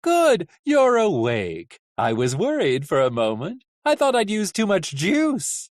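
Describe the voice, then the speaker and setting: An audiobook narrator plays a male coachman who gloats over his captives. He is cheerfully menacing and mock-polite, drawing out words theatrically. His pitch leaps about in a slow, savoring delivery. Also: wildly swooping pitch, dry recording, studio quality, full character voice. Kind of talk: audiobook character acting.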